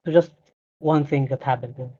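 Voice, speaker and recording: Guy asking questions kinda haltingly, mellow slightly high voice, muffled lo-fi mic. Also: steady tone, husky. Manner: halting, hesitant phrasing with restarts. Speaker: interviewer, server admin. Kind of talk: casual interview chat. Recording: dull lo-fi mic.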